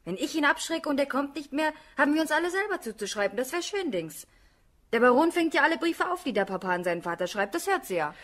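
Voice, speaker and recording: An actress playing a headstrong young daughter in a German radio drama, defensive and excitable. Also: female voice, high-pitched, quick pace. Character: headstrong young daughter arguing with her mother.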